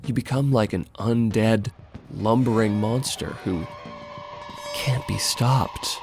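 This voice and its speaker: A man's voice: fairly low pitch, fairly even intonation, takes it slowly, over loud music. A man narrating a scripted video essay in a conversational, sardonic and emphatic way, with casual asides and swearing.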